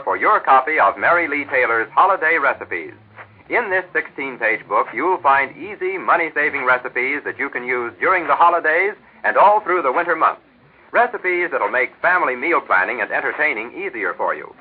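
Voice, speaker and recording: An American male announcer delivers a 1940s radio promotion. His mid-pitched voice is husky and highly animated. The old recording sounds thin and narrow. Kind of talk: 1940s radio advertising read.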